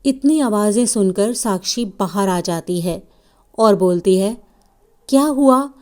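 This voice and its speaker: A woman's voice: medium pitch, measured pace, mellow. A woman narrating a scripted children's story as a storyteller.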